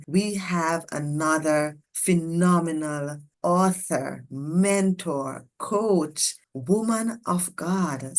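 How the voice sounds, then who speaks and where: A woman's voice: low-pitched, slight background noise. A woman hosting a podcast interview, welcoming her guest in an effusive, formal way. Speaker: podcast host.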